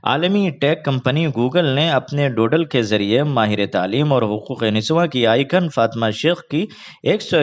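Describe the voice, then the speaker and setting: A man reads scripted news in a formal, measured announcer manner. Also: mid-range voice. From India. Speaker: news presenter. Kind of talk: scripted news reading in formal Urdu register. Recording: dull lo-fi online video audio.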